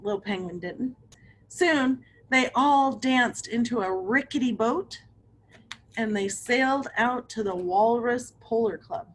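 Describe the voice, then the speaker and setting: A woman's voice: a little high, slightly rough, low-quality recording. A woman reading a picture book aloud to children, with chatty asides and questions to her young listeners. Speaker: read-aloud storyteller for children.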